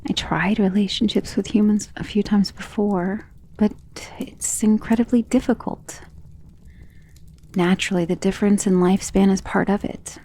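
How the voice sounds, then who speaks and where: A woman playing a centuries-old, tender and protective vampire in an intimate ASMR romance roleplay, speaking softly and earnestly, often in a whisper. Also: medium pitch, fairly even intonation, normal speed. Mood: wistful, hesitant. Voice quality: soft, breathy, often dropping to a whisper.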